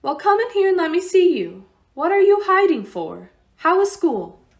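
A woman's voice: extremely high pitch, big pitch swings, normal speed, heavily acted. A woman playing a stern, brusque, no-nonsense mother in a radio play. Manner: brusque, demanding. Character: stern, no-nonsense refugee mother, brisk and practical.

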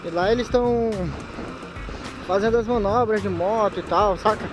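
A man's voice: high-pitched, moderate pace, background noise. Laid-back motovlogger man riding. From Manaus, Brazil. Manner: chatty, laid-back slang, frequent laughs. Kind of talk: casual motorcycle vlog commentary. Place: riding a motorcycle on city streets outdoors.

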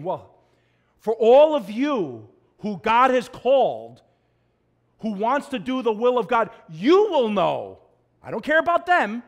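A middle-aged man preaching a sermon from a church pulpit, swinging between loud emphasis and low, quiet drops. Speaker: pastor.

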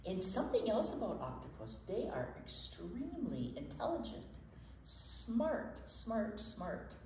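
A woman's voice: medium pitch, wildly swooping pitch, measured pace, darkish tone. A woman in her sixties presenting a children's storytime, talking and reading aloud expressively, with emphatic repeats of key words. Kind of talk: casual children's storytime talk and read-aloud. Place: library children's room. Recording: slightly echoey room, faint noise.

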